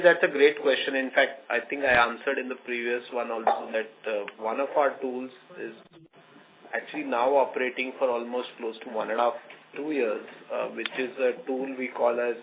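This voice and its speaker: A man with an Indian accent speaks as a company executive on an earnings call, explaining things in a measured, halting way. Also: mid-range voice, steady tone. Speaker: company executive answering questions. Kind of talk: earnings call question and answer. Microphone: conference phone call. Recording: tinny phone line with noise, clicks.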